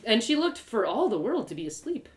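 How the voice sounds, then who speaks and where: A woman storyteller narrates a fantasy tale in the first person. Her delivery is theatrical and expressive, with a lively pitch that rises and falls to shape each phrase. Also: fairly quick. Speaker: author reading her story aloud.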